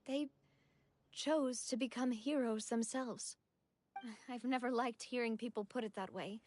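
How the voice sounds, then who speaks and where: Earnest young woman leader, highish voice acted. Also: dry recording, close to an everyday voice. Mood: solemn, earnest. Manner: polite, sincere, deliberate. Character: earnest, dignified young female leader of a local organization. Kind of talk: video game voice acting.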